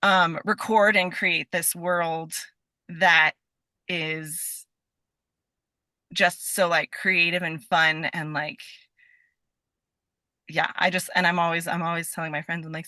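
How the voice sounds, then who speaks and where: A woman's voice: lowish voice, a little slow, long pauses, bright, forceful tone, no room sound, clean studio sound. A woman being interviewed as a guest, chatting casually in a scattered, enthusiastic way and peppering her sentences with "like". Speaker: guest, voice actor.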